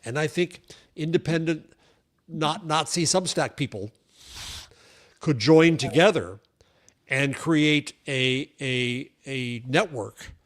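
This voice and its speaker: A man's voice: on the high side, takes it slowly, pauses often, a bit bright. An older man appearing as a guest media journalist, arguing his views thoughtfully in casual chat, with frequent restarts. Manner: thoughtful, argumentative, stumbles on restarts.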